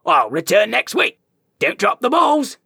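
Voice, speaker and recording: A man putting on a mocking old kung fu master with a New Zealand accent who barks his orders loud and high. The voice is husky and dry, gruff and taunting. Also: very animated, a little slow, no room sound, exaggerated voice.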